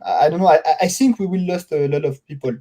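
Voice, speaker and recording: A man from France with a French accent, speaking as an interview guest in a halting, conversational way, repeating words as he searches for them. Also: a little high, expressive, dark tone.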